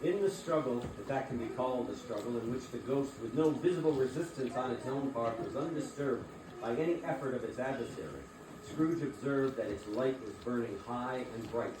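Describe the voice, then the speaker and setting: A man's voice: medium pitch, fairly quick, background noise. A man narrating a scripted dramatic reading of a classic story as the narrator. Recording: echoey room, distant mic.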